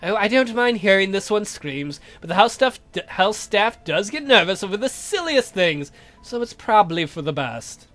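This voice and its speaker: A man's voice: high voice, sing-song, normal speed, a bit bright, faint music behind. A man voicing a suave, cheeky count with polite, theatrical, condescending menace for a visual novel game. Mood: brisk, courteous.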